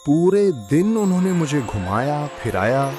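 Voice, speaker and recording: Higher-pitched eager grandson, played by a man. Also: very animated, a little slow, over music. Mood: earnest. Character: eager, pleading young village grandson. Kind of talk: first-person story narration and dialogue.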